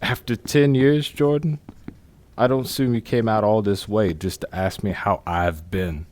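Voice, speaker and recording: A man playing a wary, gruff retired crook who is suspicious of an old partner, in an audio drama. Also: on the low side, steady tone, unhurried, a little mellow, no echo, lightly acted. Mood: sarcastic. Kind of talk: amateur audio drama acting.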